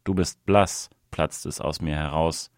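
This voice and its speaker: A man's voice: a bass voice, fairly even intonation, a little slow, dry recording, studio quality. A man reading a written reportage aloud as scripted narration. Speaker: reader of an audio article.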